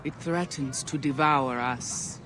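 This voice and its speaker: A man's voice: mid-range voice, slow pace, natural voice. A man playing an ominous, mystical ancient seer in a video game, speaking in portentous, incantatory phrases. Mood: foreboding. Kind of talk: dramatic video game acting. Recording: heavy background noise, outdoor ambience.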